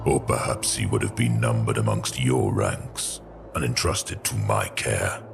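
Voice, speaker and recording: A man voicing a grim, sorrowful warrior chaplain in an audio drama, speaking in a measured, formal way. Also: a bass voice, steady tone, vocal fry, over music, pitched below the performer's own voice, exaggerated voice. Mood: bitter, solemn.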